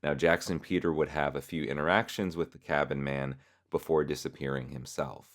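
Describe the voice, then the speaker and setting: A man with a strikingly deep, bottom-register voice hosts an explainer. He narrates in a measured, explanatory manner, moving between scripted delivery and looser commentary. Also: steady tone. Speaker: host explaining a horror web series.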